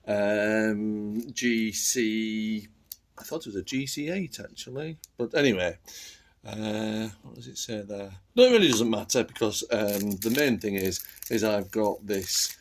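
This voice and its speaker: A man giving a casual, unscripted how-to for a video, hesitant and muttering to himself as he fiddles with small parts. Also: medium pitch. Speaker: amateur video maker demonstrating a stylus replacement.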